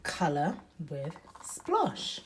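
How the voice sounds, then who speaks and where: Female, motherly bedtime storyteller: very unhurried, animated intonation, child-directed questions and picture asides. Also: low-pitched, a little hiss or hum. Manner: sing-song, slow emphatic reading of the story. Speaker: mother reading aloud to her young child. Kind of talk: bedtime picture-book read-aloud with chatty asides.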